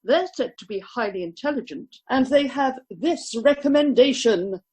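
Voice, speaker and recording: A ruthless, haughty lady of high society, acted by a woman in a radio play. Her high voice moves widely in pitch as she makes precise, scornful points. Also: expressive, normal speed, darkish tone, husky. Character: haughty, ruthless upper-class English lady guest.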